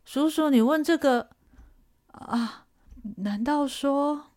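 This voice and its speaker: A woman voicing a poor, stubborn orphan peasant boy who talks in a drawn-out, pleading way. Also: sits high, darkish tone. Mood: earnest. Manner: defiant, pleading, drawn-out delivery.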